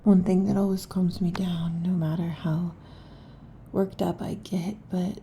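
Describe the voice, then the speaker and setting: A woman playing a restless, possessive lamia girlfriend in an intimate ASMR roleplay, with a breathy, husky half-whisper and halting, teasing delivery. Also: on the low side, flat delivery, dark tone, a little hiss or hum. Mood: coaxing, needy. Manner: halting, teasing, predatory intensity. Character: restless, possessive female lamia monster girlfriend next door.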